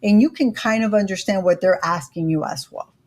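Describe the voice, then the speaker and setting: A woman's voice: mid-range voice, fairly quick. A woman in her forties with a Miami accent, speaking earnestly as an interview guest, with frequent fillers. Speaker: interview guest, credit educator. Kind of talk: casual interview conversation.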